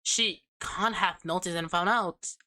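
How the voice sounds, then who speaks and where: A woman doing a let's play, reading a visual novel aloud with expressive delivery, casual reacting asides and the occasional stumble over words. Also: lowish voice, measured pace, no room sound.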